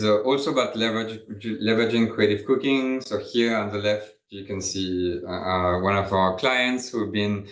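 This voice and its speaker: A man's voice: lowish voice, fairly bright tone, reverberant, low-quality recording. A man from France with a French accent, presenting a business webinar in a loose, conversational way with restarts.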